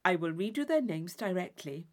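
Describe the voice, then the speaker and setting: A woman's voice: lowish voice, animated, moderate pace, close to an everyday voice. Woman voicing a gushing, affected young society lady. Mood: eager, dramatic. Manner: effusive, exaggerated, affected. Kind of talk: dramatised classic novel reading.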